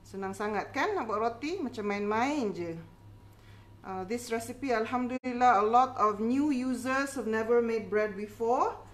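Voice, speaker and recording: A woman's voice: on the high side, normal speed. A middle-aged woman from the Philippines, hosting a casual cooking demonstration in a chatty, reassuring way with frequent little check-in tags. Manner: encouraging, chatty, reassuring, with tag questions like okay. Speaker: home baking instructor and cooking show host. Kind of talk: casual cooking demonstration, code-switching chat.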